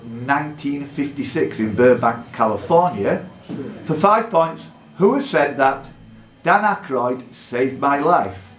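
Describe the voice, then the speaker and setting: A man working as a quiz master, reading buzzer questions aloud in a deliberate way and announcing point values between clues. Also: fairly high pitch. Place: pub room with contestants. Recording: echoey room with faint background noise.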